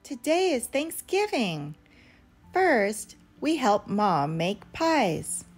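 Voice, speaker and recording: A woman's voice: fairly high pitch, big pitch swings, extremely slow. A woman reading a picture book aloud expressively for young children, as a storyteller. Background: background music.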